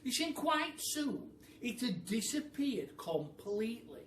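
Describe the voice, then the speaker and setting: A British man tells a story for children in an expressive, teacherly way. He speaks to listeners directly. The sound is echoey and slightly distant, with light background noise. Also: very high-pitched, takes it slowly. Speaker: primary school teacher, storyteller. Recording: echoey room, distant mic, faint noise.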